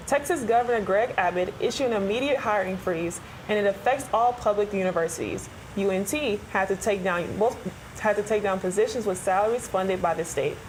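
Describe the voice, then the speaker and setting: A woman anchors a student newscast with crisp, scripted reading. A faint noise sits under her voice. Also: medium pitch, rough recording. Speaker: news anchor.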